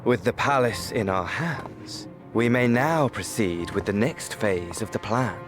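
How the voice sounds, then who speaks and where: A man's voice: expressive, measured pace. An actor playing a theatrical, mad male game villain with grandiloquent, melodramatic and mocking delivery. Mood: sly, musing. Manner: grandiloquent, melodramatic, swooping from shrill glee to hushed menace. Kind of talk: theatrical video game voice acting. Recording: music behind the voice.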